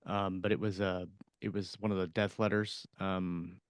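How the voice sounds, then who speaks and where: A man with a low, smooth voice chats about his own writing on a podcast. He halts often and restarts his sentences as he thinks. The sound is dry and close. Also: little pitch movement, no room sound. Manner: halting, many fillers and restarts. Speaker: podcast co-host, author. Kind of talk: casual chat.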